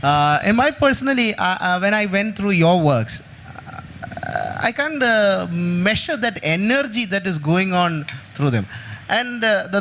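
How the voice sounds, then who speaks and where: A man's voice: high voice, sharp tone, a little hiss or hum. A man interviewing a guest, asking long, hesitant questions full of restarts. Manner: hesitant, many fillers and restarts.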